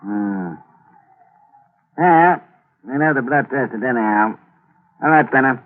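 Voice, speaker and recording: A man's voice: mid-range voice, animated, slow pace, phone-quality sound. A man playing a dogged, suspicious police inspector in a 1940s radio mystery, dry and probing as he questions. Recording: noisy, thin 1940s radio broadcast recording.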